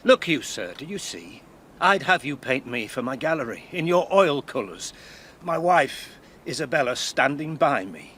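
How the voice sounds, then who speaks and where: A man's voice: mid-range voice, very animated, moderate pace, a bit bright. A male actor with a British accent playing a grief-maddened, vengeful older Spanish father in a classical radio drama, theatrical and volatile, with faint background noise. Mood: bitter, erratic.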